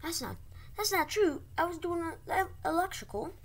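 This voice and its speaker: A woman voicing a plush toy who indignantly protests its innocence in a high, strained voice. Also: animated, normal speed.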